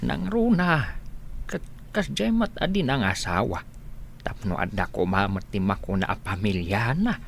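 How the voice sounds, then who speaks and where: A man's voice: lowish voice, very animated, unhurried, gravelly, a little hiss or hum, heavily acted. A man acting a humble, friendly villager in an Ilocano radio drama, with an Ilocano Filipino accent. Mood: earnest.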